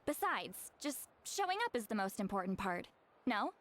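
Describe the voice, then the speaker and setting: A woman's voice: medium pitch, big pitch swings, moderate pace, a bit bright. A woman playing a proud, prickly game shaman who is called granny but seems young, haughty and theatrical. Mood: awkward, explaining. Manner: theatrical, haughty, huffy sighs and throat-clearing. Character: youthful-looking granny shaman, prickly, proud, easily embarrassed.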